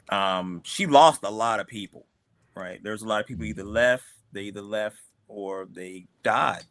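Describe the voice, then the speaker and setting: A man's voice: on the low side, a bit bright, no room sound. A man, the creator of a comic, chatting casually with his cast on a livestream, rambling with lots of fillers and restarts. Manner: rambling, many fillers and restarts, laughs often. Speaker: creator of the comic, the cast's boss.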